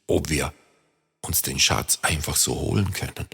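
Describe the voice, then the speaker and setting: A man's voice: a bass voice, a little slow, creaky, bright, forceful tone, husky, exaggerated voice. A man reading a children's storybook, voicing a timid, anxious hare. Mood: worried. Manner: anxious, hesitant. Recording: long reverb.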